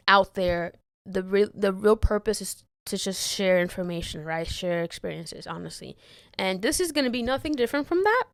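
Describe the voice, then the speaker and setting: A woman's voice: mid-range voice, smooth, no room sound. Young woman, podcast host, from the Dominican Republic, candid rambling, swears a lot, dry close sound. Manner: candid, swears freely, trails off and restarts, laughs often. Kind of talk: rambling solo podcast chat. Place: bedroom, sitting on bed. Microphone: handheld mic.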